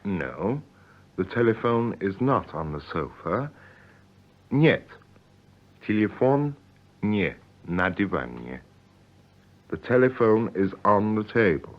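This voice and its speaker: Low-voiced man with lively intonation. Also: slow pace, pauses often. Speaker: learner and co-presenter. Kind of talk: scripted radio language lesson, 1950s broadcast. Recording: dull, lo-fi 1950s radio recording.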